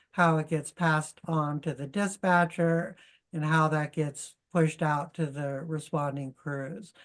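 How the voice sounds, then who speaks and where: A middle-aged woman with a low voice introduces a speaker on a video call. She talks informally and haltingly, correcting herself as she goes, like a host working loosely from notes. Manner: halting, self-correcting, conversational.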